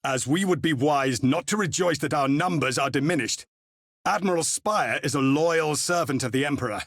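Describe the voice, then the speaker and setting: A fairly high male voice, recorded dry, a stern space marine. He is measured and formal, reproving others in the manner of a dramatic game cutscene. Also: fairly bright tone, no room sound. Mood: cool reproof. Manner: formal, admonishing.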